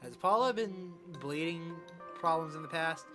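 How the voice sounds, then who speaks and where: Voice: mid-range voice, measured pace, music in the background, lightly acted. A performer voicing a polite, earnest male doctor asking questions in a fan dub. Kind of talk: amateur fan dub of a medical drama.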